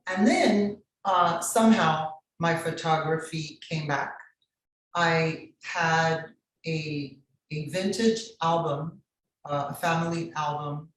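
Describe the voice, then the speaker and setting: A woman from Miami telling her own story as a guest artist in an interview, deliberately paced in her delivery. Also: low voice. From Miami, Florida. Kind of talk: personal interview narrative. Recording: very echoey room, distant from microphone.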